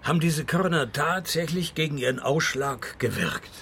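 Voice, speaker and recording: A man playing a dry, sardonic private detective in a radio play, deadpan and laconic. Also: a little high, normal speed, a little hiss or hum. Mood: dry, probing. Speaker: lead actor and first-person narrator of a radio play. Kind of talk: radio drama dialogue and scripted first-person narration.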